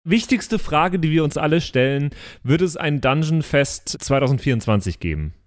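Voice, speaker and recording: A man's voice: a little high, lively intonation, normal speed. A man chatting casually, putting questions to the others in an interview-like way. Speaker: podcast member asking questions.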